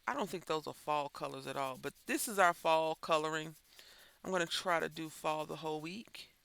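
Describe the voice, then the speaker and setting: A man's voice: fairly high pitch, moderate pace, gravelly, pushed and bright, clear, resonant tone, no room sound. A man chatting while coloring as host of a crafting channel, rambling and thinking aloud, sounding stuffy and congested. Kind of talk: casual chat while coloring.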